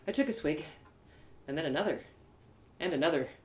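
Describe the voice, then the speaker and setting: A woman narrating a first-person story live, in an expressive, theatrical storytelling manner. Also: low-pitched, fairly quick, reverberant. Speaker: storyteller, audio drama creator.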